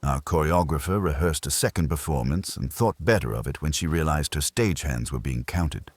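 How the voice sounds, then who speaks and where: A man voicing an imperious, pedantic master detective who gives orders in formal, ornate phrasing with a husky low voice. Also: normal speed, dry recording. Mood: musing.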